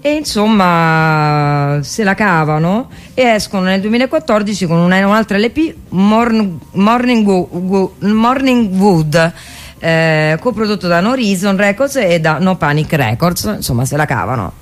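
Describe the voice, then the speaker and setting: A woman hosting a radio music show, speaking off the cuff in a halting way with frequent hesitations. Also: medium pitch, lively intonation. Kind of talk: unscripted radio music presentation.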